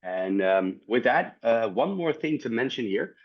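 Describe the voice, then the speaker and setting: A man's voice: mid-range voice, lively intonation, measured pace. A man hosting a webinar opening, speaking hesitantly with many fillers. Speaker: local host. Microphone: video call. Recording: dull lo-fi call audio.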